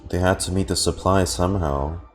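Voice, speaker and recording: A man's voice: low-pitched, a little fast, soft background music. A man giving casual, laid-back reaction commentary as a game streamer, in muttered asides.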